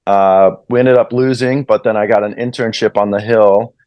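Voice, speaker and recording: A young American man talks in a lowish, casual voice full of "uh" and "um". He often restarts his sentences as he introduces himself. The sound is close and dry. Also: fairly even intonation, moderate pace, smooth, dry recording, muffled recording. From United States. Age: young adult. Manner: casual, filler-heavy, hesitant. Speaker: guest, club president. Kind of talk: interview self-introduction.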